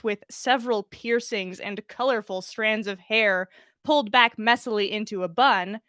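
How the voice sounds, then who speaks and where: Casting: female storyteller for fiction podcast, fairly high voice, very lively intonation, expressive read-aloud delivery. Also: dry recording, studio quality. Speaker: podcast host reading fan fiction.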